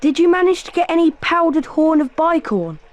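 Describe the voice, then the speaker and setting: A woman's voice: very high-pitched, sing-song, normal speed, big-room sound. A woman playing a young, plucky but wary schoolboy wizard in a video game.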